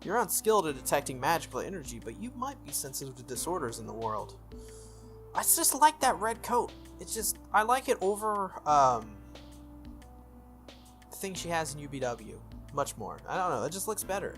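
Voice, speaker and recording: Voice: medium pitch, very animated, normal speed. Casual game streamer reading over music. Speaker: let's-play host reading a visual novel aloud. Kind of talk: scripted reading with casual commentary. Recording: music under the voice. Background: soft game music.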